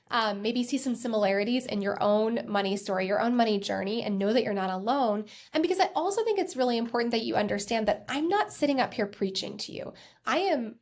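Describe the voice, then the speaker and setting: An American woman in her thirties hosting a podcast, telling a personal story in a conversational, self-reflective way with emphatic repetitions. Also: fairly high pitch. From United States. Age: 30s. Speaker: podcast host and accountant.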